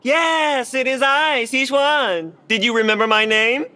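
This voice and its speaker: Bright-voiced, sly old Chinese official. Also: high voice, measured pace. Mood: sly, welcoming. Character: eccentric old Chinese man, sly all-knowing party official. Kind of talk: modern audio play acting.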